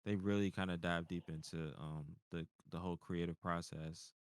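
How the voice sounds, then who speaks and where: Deep, clear-voiced man in casual chat. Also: fairly even intonation, moderate pace, no echo. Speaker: panelist on a nerd culture show.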